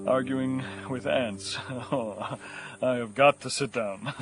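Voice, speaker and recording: A man's voice: mid-range voice, slow pace, loud music behind the voice. A man playing a frazzled, thirsty professor losing his mind in the desert, muttering and bursting out in an audio horror comedy. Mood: exhausted. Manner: muttering to himself, breaking into agitated outbursts.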